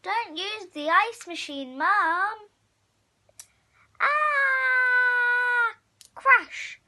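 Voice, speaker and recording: A child reading a picture storybook aloud expressively, acting out the hero and halting over some words. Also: extremely high pitch, pushed and bright. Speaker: story reader.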